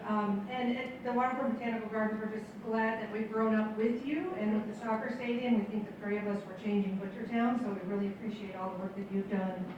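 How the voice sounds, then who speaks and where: A woman's voice: mid-range voice, steady tone, quick pace, few pauses, a crowd in the background. A woman speaking politely from the floor as an audience member putting a question to a speaker. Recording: muffled, echoey, distant, noisy room.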